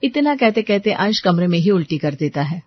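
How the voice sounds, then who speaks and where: A woman's voice: medium pitch, big pitch swings, brisk, no echo, dull audio. A woman storyteller reading scripted family story narration.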